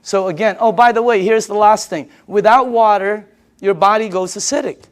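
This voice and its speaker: A woman's voice: mid-range voice, moderate pace. A woman from the Philippines giving an informal sales presentation, emphatic and repetitive, often putting rhetorical questions to her listeners.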